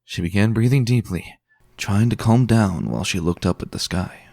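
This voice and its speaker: A man giving a dramatic, expressive reading of a fan story as narrator. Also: lowish voice, moderate pace, creaky, dry recording, clean studio sound. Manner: expressive, tense and theatrical. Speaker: fan reader.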